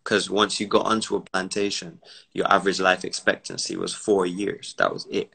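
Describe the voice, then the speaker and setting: Deep-voiced man lecturing with emphasis. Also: little pitch movement, normal speed, vocal fry, bright, forceful tone, slightly rough. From Barbados, raised in the UK. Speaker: entrepreneur and commentator. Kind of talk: casual live-stream conversation. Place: indoors at home. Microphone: phone on a live video call.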